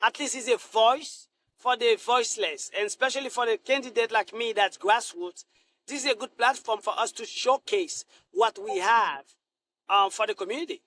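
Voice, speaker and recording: A middle-aged man from Haiti, a Senate candidate answering interview questions in a halting way, full of restarts and fillers. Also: very high-pitched, a bit bright, a little hiss or hum.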